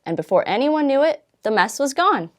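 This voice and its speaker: A woman volunteer reading a children's storybook aloud, expressively, with dramatic pauses and drawn-out words. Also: high-pitched, big pitch swings.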